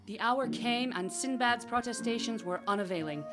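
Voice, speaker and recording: An actress playing a measured, serious storybook narrator in a scripted radio drama. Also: female voice, fairly high pitch, music in the background, lightly acted. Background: musical score.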